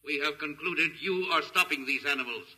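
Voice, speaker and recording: An actor playing an emotionless, logical alien captor with stilted, formal phrasing in 1950s radio science-fiction style. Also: male voice, fairly high pitch, moderate pace, bright, forceful tone, breathy edge, heavily acted, poor audio. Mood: cold, insistent. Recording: vintage 1950s radio recording.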